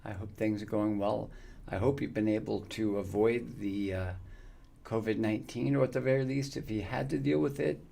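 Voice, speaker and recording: A man in his fifties, chatting casually and warmly with viewers on his live gaming stream, rambling with many fillers. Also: lowish voice, steady tone, moderate pace, creaky. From United States. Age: 50s.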